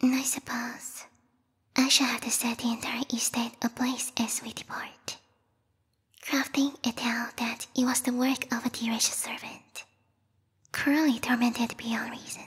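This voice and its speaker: A woman voicing a formal, haughty bat girl who serves a vampire queen, speaking in a breathy, whispery ASMR roleplay style close to the microphone, politely menacing. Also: on the high side, little pitch movement, a little slow, long reverb tail. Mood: scheming. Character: yandere bat monster girl, devoted servant of vampire queen. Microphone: close binaural microphone.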